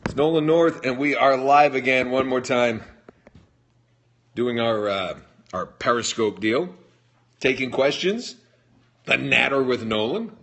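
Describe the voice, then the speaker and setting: A man's voice: medium pitch, expressive, a little slow, creaky, pauses often, fairly bright tone. A middle-aged man hosting a casual live stream, chatty and jokey, reading fan comments aloud and answering them. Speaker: livestream host answering fan questions. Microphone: phone livestream.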